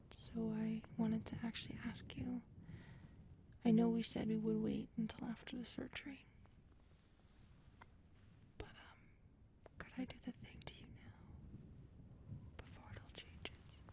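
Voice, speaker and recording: Woman voicing a soft, high-voiced alien girlfriend. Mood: shy, intimate. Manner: soft, breathy, intimate, often dropping into whispers. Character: affectionate, playful adult female alien lover, tall otherworldly species. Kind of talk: ASMR audio roleplay.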